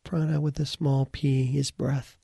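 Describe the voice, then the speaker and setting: A man leading a guided meditation as its teacher, speaking in short, deliberate phrases with pauses and a gravelly, husky voice. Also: medium pitch, little pitch movement, mellow, no echo, clean studio sound.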